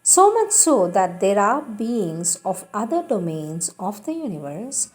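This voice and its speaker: A woman reading spiritual teachings aloud in a devotional style, deliberate and emphatic, repeating phrases for emphasis. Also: mid-range voice, sing-song. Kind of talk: devotional read-aloud with announced quotations.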